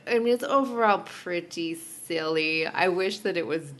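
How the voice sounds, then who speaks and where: A woman's voice: fairly low pitch, a little slow, fairly bright tone. A woman co-hosting a podcast, talking casually in an informal, easygoing manner. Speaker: podcast co-host. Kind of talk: casual TV recap chat.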